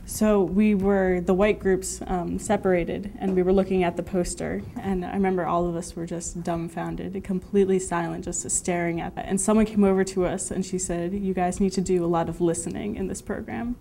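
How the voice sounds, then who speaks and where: A woman teacher recalling a program activity in an interview, in a reflective, halting way. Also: on the low side, little pitch movement, vocal fry, mellow, slight background noise. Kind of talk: interview recollection.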